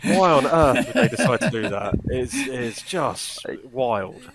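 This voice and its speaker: A man's voice: mid-range voice, wildly swooping pitch, measured pace, a crowd in the background. A man speaking as a panellist in casual discussion, with an emphatic, informal manner.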